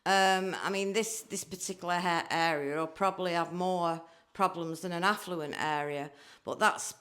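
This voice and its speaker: A woman's voice: lowish voice, fairly even intonation, normal speed, fairly bright tone. A woman interviewing a guest, agreeable and chatty, ending points with tag questions. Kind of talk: conversational interview.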